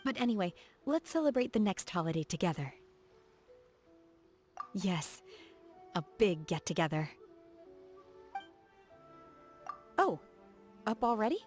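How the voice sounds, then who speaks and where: A woman voicing a reserved, earnest, socially awkward young female martial disciple in a video game, speaking in a formal, measured way. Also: mid-range voice, big pitch swings, a little mellow, lightly acted. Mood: grateful, warm. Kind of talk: video game character voice acting. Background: background music.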